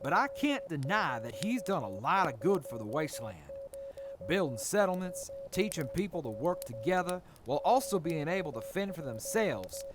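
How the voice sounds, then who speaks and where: An actor playing a proud, earnest mercenary major in a post-apocalyptic audio drama, reflective and a little hesitant. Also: male voice, on the high side, sing-song, moderate pace, music in the background.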